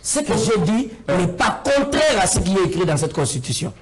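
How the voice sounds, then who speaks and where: A man's voice: sits high, very animated, normal speed, background noise. A man with a Congolese accent in a heated political debate, arguing insistently and repeating himself. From Congo (DRC). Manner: insistent, repetitive, argumentative.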